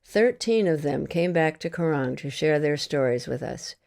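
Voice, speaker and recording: A woman's voice: deep voice, lively intonation, moderate pace, gravelly, a little mellow. A woman reading a scripted narration aloud as a reader at a service.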